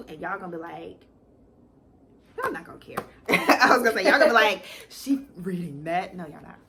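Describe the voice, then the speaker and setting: A woman's voice: lowish voice, slight background noise. A woman co-hosting a casual book chat in a playful, teasing manner. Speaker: co-host of a book channel.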